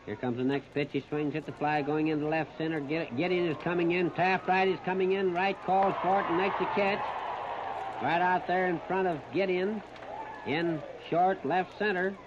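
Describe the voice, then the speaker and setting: A man's voice: high-pitched, steady tone, normal speed, a crowd in the background. An American man calling baseball play-by-play on 1930s radio, plain-spoken and folksy, in short phrases. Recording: muffled, noisy 1930s radio disc recording.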